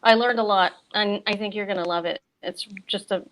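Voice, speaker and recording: A woman's voice: mid-range voice, fairly even intonation, brisk, a bit bright, poor audio. A middle-aged American woman chatting casually as a guest bookseller and author, telling things in a chatty way with casual asides. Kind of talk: casual chat on a virtual event.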